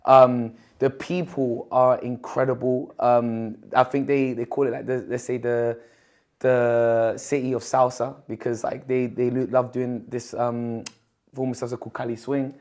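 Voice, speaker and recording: A young man from Colombia speaks in a relaxed podcast conversation. He tells stories in a rambling, chatty way, full of fillers and restarts, at a middling pitch. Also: a little slow. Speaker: podcast guest. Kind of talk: casual interview chat.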